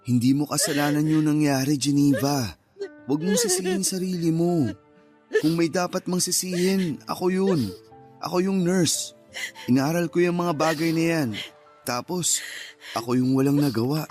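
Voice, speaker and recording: An actor playing a grieving young husband who gently pleads and consoles in radio-drama style. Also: male voice, a little high, lively intonation, normal speed, long pauses, close to an everyday voice. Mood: consoling, pained. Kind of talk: radio-drama dialogue acting. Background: music.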